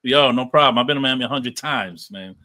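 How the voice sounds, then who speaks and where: A man's voice: mid-range voice, brisk, bright, forceful tone. A man hosting a podcast in a casual, slangy way, with enthusiastic interjections. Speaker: podcast host. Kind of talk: casual chat.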